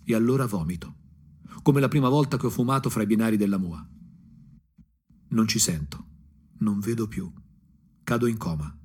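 An actor playing a disillusioned provincial ex-punk looking back with bitter irony, in a husky, brooding radio drama monologue with dramatic pauses. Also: male voice, fairly low pitch, a little mellow. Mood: bitter, weary. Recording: music playing under the voice. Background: guitar music.

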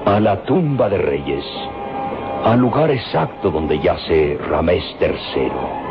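A man's voice: low voice, big pitch swings, takes it slowly, music in the background, exaggerated voice. An actor playing a fatherly, solemn professor eager for discovery, in theatrical old radio drama style. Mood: impassioned, solemn. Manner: theatrical, grandiloquent. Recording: dull lo-fi old radio recording, hiss.

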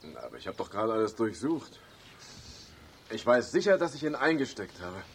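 A man's voice: fairly low pitch, sing-song, brisk, a little hiss or hum. A man playing a bewildered, grumbling car owner in a radio play, plaintive, with a Bavarian accent. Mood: puzzled. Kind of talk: German radio play acting.

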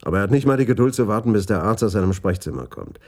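An actor playing a businesslike, decisive police detective in a radio crime drama. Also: male voice, on the low side, expressive, very fast, darkish tone, lightly acted. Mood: exasperated.

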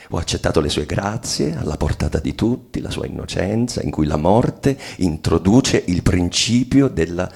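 An actor playing a tormented, bitter bourgeois husband in a solemn stage monologue, declaimed with weighted pauses. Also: male voice, low voice, creaky, darkish tone, husky, full character voice. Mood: defiant. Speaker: actor in a dramatic reading. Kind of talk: theatrical monologue reading of a stage play.

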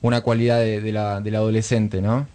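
An Argentine man hosting a casual radio discussion, reflective and often pausing to search for words. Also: fairly low pitch, little pitch movement, dark tone. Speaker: radio host. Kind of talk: casual chat, political commentary.

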